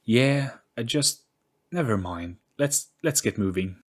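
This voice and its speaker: A man's voice: medium pitch, expressive, takes it slowly, voice pushed up. Man playing an earnest, hesitant young adventurer. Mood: flustered.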